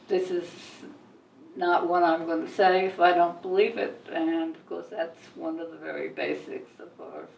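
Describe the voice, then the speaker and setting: An older woman reminisces about her own life as an interviewee, reflective and often halting. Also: on the low side, gravelly, a little hiss or hum. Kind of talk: reminiscing, personal storytelling. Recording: echoey room, distant mic.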